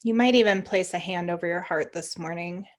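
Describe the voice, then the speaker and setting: A woman leading a guided meditation as a teacher, giving gentle, encouraging instructions with pauses. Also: lowish voice.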